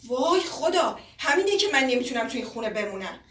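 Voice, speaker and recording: A woman's voice: sits high, sing-song, a bit bright. An actress playing a caring, worn-out young woman looking after her father, soothing and pleading, in a naturalistic drama. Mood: exasperated. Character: caring, worn-out grown daughter of an old man. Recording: echoey, noisy room, distant mic.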